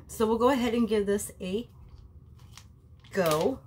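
A woman's voice: a little high, takes it slowly. A woman in her forties with a nasal, stuffy voice from a cold, chatting to her viewers about a face mask at home. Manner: chatty, talks to viewers as family, frequent ums. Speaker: beauty vlogger. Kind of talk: casual skincare review vlog. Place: small bedroom at home, indoors. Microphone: camera in front at desk distance.

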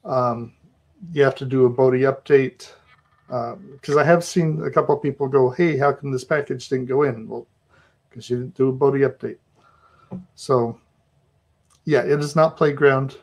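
A middle-aged man giving a relaxed, chatty conference talk over a video call, with a joking, self-mocking manner. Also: medium pitch, moderate pace, pauses often. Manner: relaxed, chatty, laughs at himself. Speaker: presenter.

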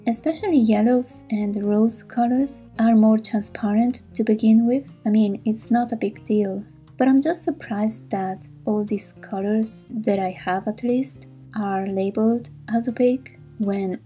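A Swiss woman with an Italian accent, a native Italian speaker, calmly explaining an art supply review in a voice-over. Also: fairly high pitch. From Switzerland. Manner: calm, explanatory, a little hesitant. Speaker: art channel host.

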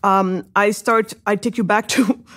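A middle-aged woman from Senegal, an entrepreneur, telling her life story in an interview with lively, rising excitement and many fillers. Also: unhurried. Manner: animated, many fillers, rises high when excited. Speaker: guest entrepreneur.

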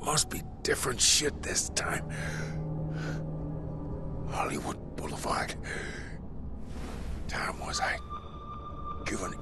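A man playing a gruff, blunt, world-weary survivor in a video game. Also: mid-range voice, wildly swooping pitch, slow, long pauses, loud music behind the voice. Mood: weak, regretful.

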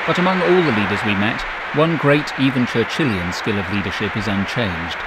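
A man narrating a business news feature in a crisp, measured, scripted voice-over. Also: medium pitch, heavy background noise. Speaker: journalist narrator. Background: loud background music.

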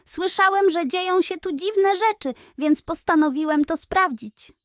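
A woman's voice: very high-pitched, measured pace, dry recording, studio quality, voice pushed up. A woman voicing a shy, nervous little male bunny in a bedtime audio story.

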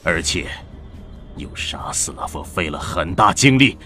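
A towering armored monster lord speaks slowly and coldly, voiced by a man. His voice sits very deep and every phrase is deliberate. Faint music plays underneath. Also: animated, pushed and bright, exaggerated voice. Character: huge armored evolved monster villain, male, calm, cold.

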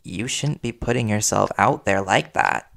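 A man playing a worried reporter boyfriend in an intimate audio roleplay, earnest and halting as he gently confronts his partner. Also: fairly low pitch, vocal fry. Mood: concerned, disappointed.

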